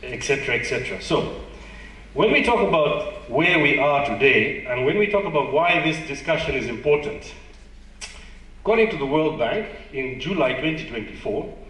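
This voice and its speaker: A man's voice: mid-range voice, animated, normal speed, a bit bright. Malawian male conference presenter, conversational and self-deprecating, recorded at a distance in a reverberant hall. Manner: conversational, self-deprecating, asks the audience questions. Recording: echoey hall, distant mic.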